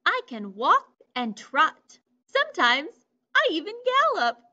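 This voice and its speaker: A woman reading aloud as a proud, fast farm horse, with a high whinny. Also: wildly swooping pitch, bright, forceful tone, heavily acted. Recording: muffled lo-fi recording.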